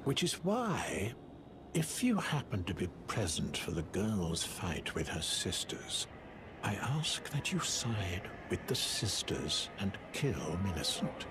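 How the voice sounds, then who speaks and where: Fawning scholar with wildly swooping voice. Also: male voice, low voice, sing-song, slow pace, background noise, heavily acted. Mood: sinister pleading. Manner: obsequious, theatrical, hesitant politeness. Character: fawning, unctuous scheming male scholar with sinister devotion. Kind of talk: video game character acting.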